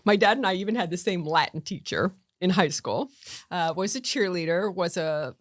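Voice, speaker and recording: A woman's voice: on the low side, moderate pace, no echo. A middle-aged woman from Connecticut, a county commissioner chatting easily as a podcast guest, laughing often. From Connecticut, USA. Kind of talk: casual interview chat.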